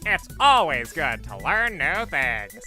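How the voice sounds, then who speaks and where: A woman voicing an eccentric, excitable male maths teacher and mad scientist in a cartoon comic dub, pedantic and theatrical. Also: low voice, takes it slowly, faint music behind, heavily acted. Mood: eager, pedantic.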